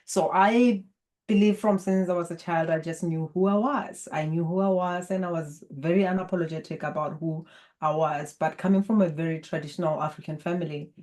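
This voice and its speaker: Botswana-accented woman, native Setswana speaker, guest healer; low, warm, calm, measured delivery. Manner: calm, measured, spiritual guidance tone. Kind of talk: interview conversation.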